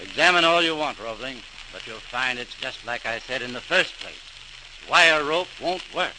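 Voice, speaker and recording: A man's voice: mid-range voice, animated, normal speed, pushed and bright. An actor playing a skeptical, brusque male official in old-time radio drama, speaking bluntly and dismissively on a noisy old recording. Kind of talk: 1940s radio drama acting.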